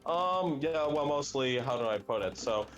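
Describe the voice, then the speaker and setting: A young adult man chatting casually while playing a game, musing aloud and often pausing with fillers and restarts. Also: medium pitch, lively intonation, muffled recording, faint music behind. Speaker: language gaming guest. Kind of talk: casual chat while gaming.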